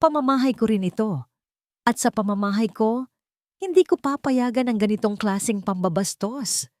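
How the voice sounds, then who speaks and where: A woman's voice: fairly high pitch, moderate pace, darkish tone, no echo, studio quality, voice pushed up, close to an everyday voice. Woman acting a defiant, wounded wife, emphatic. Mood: defiant, angry. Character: fed-up wronged wife, defiant and pained.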